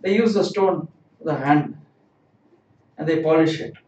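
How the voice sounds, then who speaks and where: Male kanji teacher, high voice, repetitive explainer, echoey room. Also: a little slow, a little mellow. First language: not Japanese. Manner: explanatory, repeats words, asks "right?". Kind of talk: livestream kanji lesson. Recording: distant, roomy, dull lo-fi stream audio.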